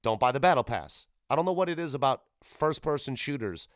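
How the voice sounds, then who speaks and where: A man's voice: mid-range voice, expressive, a little fast, dry recording, studio quality. A man hosting a solo gaming podcast, giving casual commentary in a rambling, irreverent, profane way. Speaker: podcast host.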